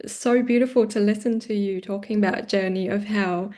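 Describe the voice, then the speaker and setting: Female interview host, medium-pitched voice, casual and supportive, appreciative, feels her way through phrases. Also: fairly even intonation, normal speed, dark tone.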